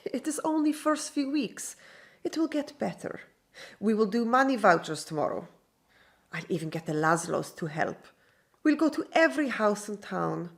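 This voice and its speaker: A confident female circus artiste. Her voice swings animatedly and crackles with creak, flirtatious in radio drama style. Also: mid-range voice, very animated, normal speed. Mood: upbeat.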